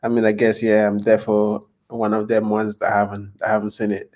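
A man's voice: lowish voice, completely flat delivery, brisk, darkish tone. Hesitant man from Nigeria. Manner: hesitant, thoughtful, many ums and restarts. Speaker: podcast guest. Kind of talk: casual interview chat.

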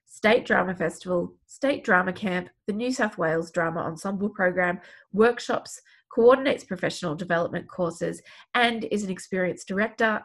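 A woman's voice: lowish voice, breathy edge. A woman hosting a podcast with a head cold that makes her sound sniffly and clogged up, reading introductions and chatting with guests. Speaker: podcast host. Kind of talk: scripted podcast intro and casual chat.

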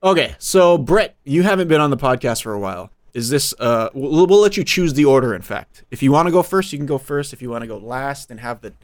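A man's voice: medium pitch, animated, brisk, hardly any pauses, dry recording, clean studio sound. A man in his thirties co-hosting a podcast, joking and teasing in casual chat. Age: 30s. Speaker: podcast co-host.

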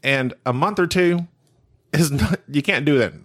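A man co-hosting a casual podcast who teases his partner with quick joking interjections. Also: a little high, fairly bright tone. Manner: teasing, jokey interjections. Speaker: podcast co-host.

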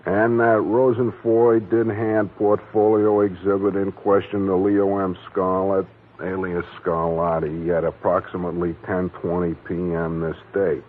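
A deep-voiced stern police captain. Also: male voice, level tone, measured pace. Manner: officious, reads statements aloud. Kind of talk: 1940s radio acting. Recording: tinny old radio broadcast.

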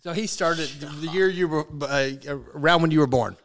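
A man's voice: a little high, moderate pace. A man of forty, chatting casually about sports as a co-host in a studio.

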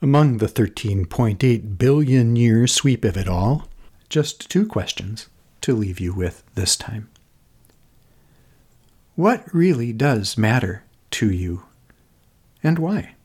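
Reflective American man, expressively varied pitch. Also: lively intonation, husky. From Minnesota, United States. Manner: contemplative, gentle, deliberate phrasing with emphatic repetition. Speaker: podcast host. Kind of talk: reflective scripted narration.

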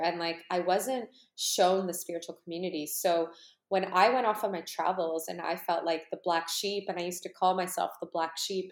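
A woman in her thirties from Canada, a life coach and retreat host, talking earnestly to her followers and rambling with lots of "you know" and "like". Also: fairly low pitch. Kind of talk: personal monologue to followers. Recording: roomy echo.

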